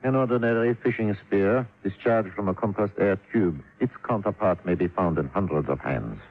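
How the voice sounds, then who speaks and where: An actor playing a courteous French police inspector with a French accent, formal and precise, in old-time radio drama style. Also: male voice, on the low side, normal speed, faint music behind, close to an everyday voice. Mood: formal, measured. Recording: muffled old radio recording.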